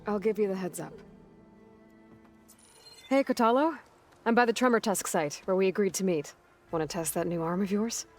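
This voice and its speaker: A woman's voice: mid-range voice, normal speed, pauses often, close to an everyday voice. A woman playing a determined, wry young hunter heroine in a video game, speaking in a matter-of-fact, dry way. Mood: wry, caring, then friendly, direct. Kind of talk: video game cutscene acting. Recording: background music under the voice.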